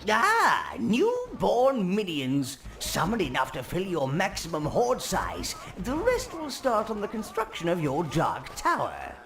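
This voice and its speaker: An actor playing a scheming old minion advisor in a video game, with a wheezy, gravelly voice and a fawning, wickedly relishing manner. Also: male voice, sits high, wildly swooping pitch, measured pace, hardly any pauses, fairly bright tone, a little hiss or hum, full character voice. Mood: gleeful advising. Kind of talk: video game cutscene acting.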